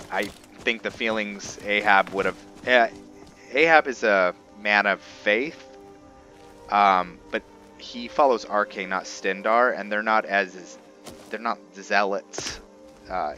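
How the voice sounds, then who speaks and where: A man giving casual commentary on a roleplay game, halting and musing as he thinks aloud. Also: lowish voice, pushed and bright. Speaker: host of a game roleplay series. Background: background music.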